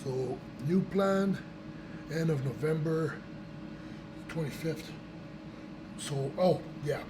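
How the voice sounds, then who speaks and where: Raspy-voiced man vlogging through a cold. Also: fairly high pitch, slow pace, noisy background. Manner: rambling, with restarts and fillers. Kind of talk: casual chat to camera.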